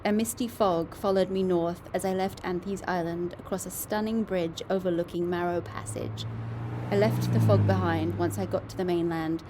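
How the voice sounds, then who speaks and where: A woman's voice: fairly low pitch, very animated, normal speed, background noise. A woman playing a curious, candid podcast reporter in an audio drama, conversational and dryly observant. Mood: scene-setting. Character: curious, candid female podcast reporter narrating her investigation. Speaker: reporter and narrator. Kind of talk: scripted first-person podcast narration.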